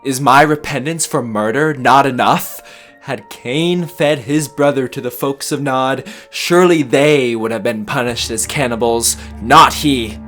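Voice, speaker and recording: Man acting a pompous, low-voiced deranged murderer. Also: a bit bright. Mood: anguished, haunted. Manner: melodramatic, pompous, archaic florid diction. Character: deranged, grandiose sandwich-shop murderer confessing his crime. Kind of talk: theatrical gothic horror monologue. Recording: faint music behind the voice.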